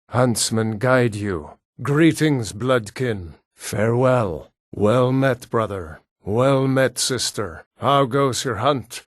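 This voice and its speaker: A Nord warrior of a fantasy game, grave and proud. He speaks slowly and formally, weighing each declaration. The voice sits at a middling male pitch in a very dry studio sound. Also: dry recording. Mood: solemn, clannish. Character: gruff Nord warrior and hunter, solemn, proud kinsman. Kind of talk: scripted video game character dialogue.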